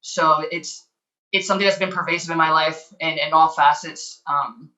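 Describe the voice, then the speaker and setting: A woman's voice: sits low, steady tone, normal speed, sharp tone. A woman giving an earnest, somewhat hesitant personal account as a panelist on a video call. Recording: slightly dull video call audio.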